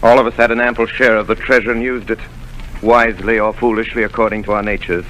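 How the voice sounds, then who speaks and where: An actor narrating as a grown man looking back in a measured, brooding way. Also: lowish voice, flat delivery, a bit bright, a little hiss or hum, lightly acted, low-quality recording. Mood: reflective. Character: grown man recalling a boyhood adventure. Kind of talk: storytelling narration.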